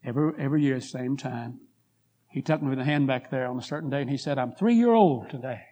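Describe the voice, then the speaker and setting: A hoarse man preaching a revival sermon in a church, telling a story and building to fervent appeals. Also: medium pitch, a little fast. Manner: rising to fervent pleas, then quiet prayer. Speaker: guest preacher. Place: church sanctuary.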